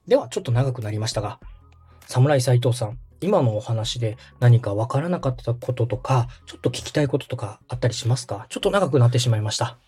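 Brief: thirties male host from Osaka, polite explainer, husky, animated intonation, soft music bed. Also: mid-range voice, quick pace. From Osaka, Japan. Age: 30s. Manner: polite, explanatory, many fillers. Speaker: podcast host and radio director. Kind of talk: explaining casual chat.

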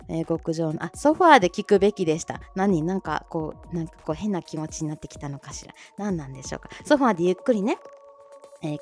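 Female livestream host. Chatty, giggly announcements with highly varied pitch over a faint music bed. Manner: bubbly, giggly, reacts to listener comments. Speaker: host of a short audio livestream, amateur voice-drama club member. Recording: soft music bed under voice. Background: soft background music.

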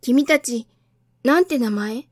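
Naive little storybook prince played by a woman, high childlike boy voice, wistful, dry sound. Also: slow pace, dry recording. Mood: puzzled.

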